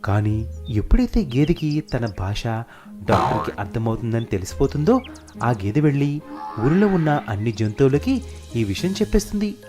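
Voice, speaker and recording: A man's voice: mid-range voice, very animated, moderate pace. A man narrating a scripted children's story. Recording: music behind the narration.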